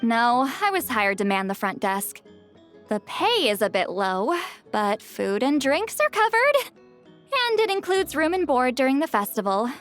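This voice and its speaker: Flustered, proud ex-commander. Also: female voice, sits high, big pitch swings. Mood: wry. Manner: guarded, quick to fluster, wry. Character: proud woman, former commander, defensive and easily flustered. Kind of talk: video game voice acting. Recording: game audio over faint music.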